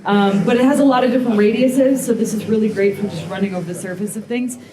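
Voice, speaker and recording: A woman's voice: mid-range voice, quick pace, dark tone. A woman giving a live metalworking demonstration, talking casually with lots of fillers. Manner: casual, rambling, many "um" and "like" fillers. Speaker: blacksmith demonstrator. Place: demo space with a live audience. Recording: echoey room with crowd sounds.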